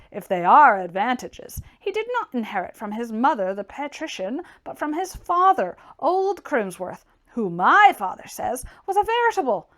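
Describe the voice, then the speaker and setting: Woman acting a brash young tradesman, high-pitched, lively swooping delivery, scornful, brusque, emphatic, classic novel character. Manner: brusque, mocking, emphatic exclamations. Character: blunt, opinionated, scornful young tradesman gentleman. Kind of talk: dramatized audiobook character reading.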